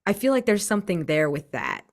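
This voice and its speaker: A woman in casual tabletop game chat, thinking aloud in an animated, gushing way. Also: lowish voice, brisk. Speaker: tabletop RPG player.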